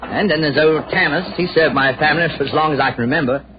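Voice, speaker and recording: A man's voice: on the high side, quick pace, lightly acted. An actor playing a courteous, worried young British nobleman in a 1940s radio drama. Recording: dull old radio recording, faint noise.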